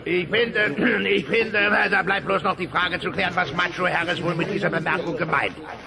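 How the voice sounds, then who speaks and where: A man's voice: sits high, normal speed, gravelly, crowd noise. A man playing a pompous, scheming dinosaur committee chairman in a comic radio play, officious and blustering.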